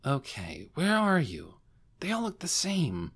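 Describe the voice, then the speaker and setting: A cautious male overlord, voiced by a man narrating in a fantasy audiobook. He sounds measured but hesitant, awkwardly keeping up the air of a wise ruler. His pitch moves around freely. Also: huge pitch swings, fairly bright tone, breathy edge, full character voice. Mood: musing.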